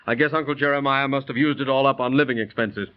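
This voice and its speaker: A man's voice: medium pitch, steady tone, quick pace, close to an everyday voice. An actor playing a breezy, wisecracking man in a 1930s radio drama, on a dull, noisy old recording. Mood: light, confiding. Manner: jaunty, wisecracking. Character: breezy, joking, sceptical man telling his tale.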